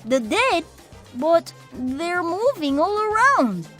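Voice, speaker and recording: A woman voicing an eager, curious young child in an animated film, wide-eyed and full of questions. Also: very high voice, slow, faint music behind, heavily acted. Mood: amazed.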